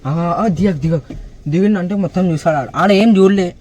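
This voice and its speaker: Fast-talking young man, Telangana Telugu accent. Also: high-pitched, dark tone. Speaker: prank video host. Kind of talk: casual chat, coaching a friend during a prank call.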